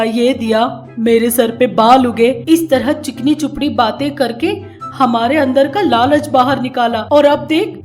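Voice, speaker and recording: A woman voicing a scolding, greedy older mother-in-law in an animated fairy tale, blunt and blaming. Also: high-pitched. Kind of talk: animated Hindi story voice acting.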